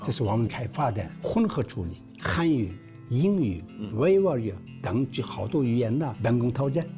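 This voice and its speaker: A man, a professor and engineer, giving an interview in which he explains his technology deliberately. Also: medium pitch, sing-song. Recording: music bed under the voice.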